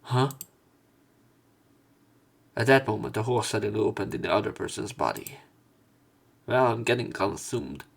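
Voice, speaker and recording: A man with a lowish voice does a let's play of a visual novel. He reads the text aloud theatrically and breaks off for his own commentary. His delivery is expressive. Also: normal speed, vocal fry, long pauses, breathy edge. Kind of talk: dramatic read-aloud with commentary.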